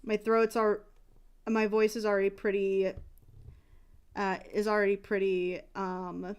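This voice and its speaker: Smooth-voiced woman streamer chatting casually. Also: medium pitch, level tone, a little slow, muffled recording. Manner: rambling, restarts, candid. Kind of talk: casual chat.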